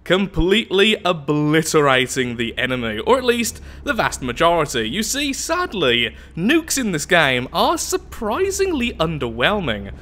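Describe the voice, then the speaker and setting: A man hosting casual gameplay commentary while sick with the flu and losing his voice, speaking in a lively, upbeat way. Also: a little high, unhurried, hardly any pauses. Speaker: gaming channel host.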